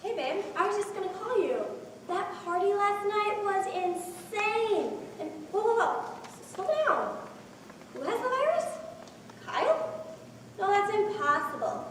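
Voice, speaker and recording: A woman's voice: very high-pitched, big pitch swings, unhurried, a bit bright, exaggerated voice. A woman acting an anxious young woman in a school one-act play. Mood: alarmed. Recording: echoey hall from far off, faint noise.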